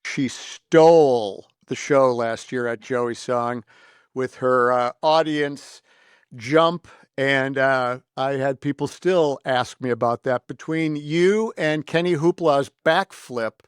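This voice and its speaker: A man's voice: mid-range voice, slow pace, clean tone, no room sound, clean studio sound. A man hosting a podcast, talking in a casual, conversational way. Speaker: podcast host. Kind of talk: casual interview chat with some scripted appeals.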